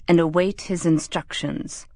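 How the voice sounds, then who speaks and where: A sly, imperious female crime boss from a video game. Her voice is low, and she speaks with cool control and a wry edge. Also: fairly even intonation, measured pace, a little mellow, no echo. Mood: businesslike, menacing. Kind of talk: video game cutscene acting.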